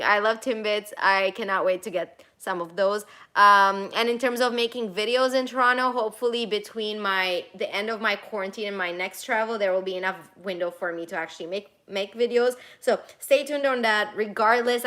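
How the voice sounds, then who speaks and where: A woman's voice: medium pitch, bright, forceful tone. A young woman whose first language is Armenian, chatting casually on a live stream as she reads and answers viewers' questions, with many fillers and restarts. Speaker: travel vlogger answering live chat. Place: home in Toronto.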